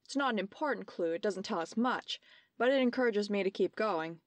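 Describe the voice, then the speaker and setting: A woman's voice: mid-range voice, animated, a little fast, no room sound, lightly acted. A woman acting in old-time radio style as a determined young detective, polite, formal and precise. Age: young adult.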